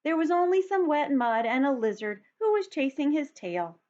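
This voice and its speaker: A friendly, patient frog from a children's storybook , read by a woman, with a high voice. He tells his tale in a measured, expressive way. The sound is slightly dull and lo-fi. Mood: wistful, storytelling. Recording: dull, lo-fi home recording.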